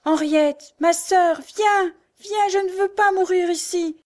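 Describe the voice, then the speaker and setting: A desperate young blind girl from a storybook melodrama, performed by a woman narrator. Her voice is lifted high and pleading. She cries out in fear. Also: steady tone, slow. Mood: desperate terror. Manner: pleading, crying out. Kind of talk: dramatic audiobook character voice.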